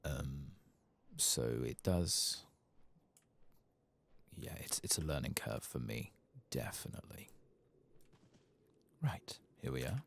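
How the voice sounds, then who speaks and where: Very deep, slow, soft-spoken man. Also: lively intonation, vocal fry. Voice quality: soft, low-volume voice, sometimes dropping to a whisper. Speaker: gaming ASMR channel host. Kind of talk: soft-spoken ASMR game playthrough commentary. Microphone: close, dry microphone.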